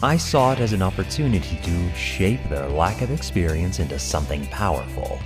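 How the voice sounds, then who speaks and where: A man playing a brooding, manipulative young gang leader, narrating his dark story in a cold, deliberate, dramatic way. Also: deep voice, animated. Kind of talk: scripted dramatic first-person narration. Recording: long reverb, loud music bed.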